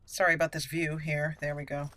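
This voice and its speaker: A woman's voice: low voice, flat delivery, a little fast, fairly bright tone, slight background noise. A woman hosting a roleplay playthrough, giving casual, chatty commentary and thinking aloud.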